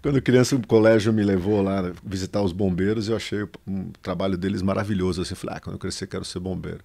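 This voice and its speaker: A middle-aged man speaks in a low, gravelly voice. He talks loosely and at ease, filling his sentences with little hesitations and drawn-out pauses as he tells his story as an interview guest. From São Paulo, Brazil. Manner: relaxed, many fillers, drawn-out pauses. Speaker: guest, advertising agency founder. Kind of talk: casual conversational interview.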